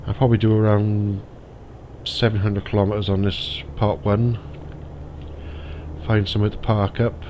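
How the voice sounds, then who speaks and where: A man's voice: fairly low pitch, little pitch movement, moderate pace, pauses often, warm tone, a little hiss or hum. A man with a slightly stuffy nose from a cold, chatting casually and thinking aloud as he plays a driving game. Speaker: gaming YouTuber. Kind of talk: casual gameplay commentary.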